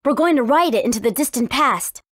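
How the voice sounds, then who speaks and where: A woman's voice: high voice, lively intonation, brisk, fairly bright tone, no room sound, studio quality. A woman voicing a spirited, cautious fifteen-year-old warrior princess in a video game, earnest and youthful in her delivery. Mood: determined. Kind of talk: English video game voice acting.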